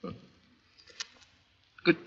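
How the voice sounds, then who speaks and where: An actor in a 1940s radio drama playing a startled, insistent doctor, a man of science who stammers in disbelief. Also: drawn-out words. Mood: stunned, incredulous. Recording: muffled vintage 1940s radio recording.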